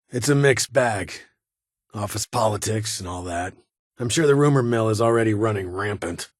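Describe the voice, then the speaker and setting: Gruff vault security boss for a game, very husky lowish voice, brusque, bossy military type. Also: male voice, dry recording, clean studio sound. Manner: clipped, authoritative, brusque. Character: stern, guarded male military security chief of a vault. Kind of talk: video game character dialogue.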